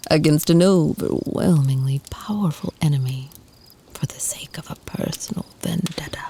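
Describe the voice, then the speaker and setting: A woman playing an ancient, tender, protective vampire lover in an ASMR roleplay, speaking in a soft, breathy, soothing whisper close to the mic. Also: low voice, slight background noise. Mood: calm, dismissive. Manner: slow, soothing, intimate murmur with lingering pauses.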